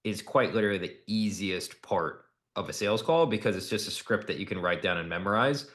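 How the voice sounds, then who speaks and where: A man with a fairly low voice works as a sales coach. He talks in a direct, casual way, explaining and critiquing like someone walking a student through a recording. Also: brisk, a bit bright. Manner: direct, instructive, casual, acts out example lines.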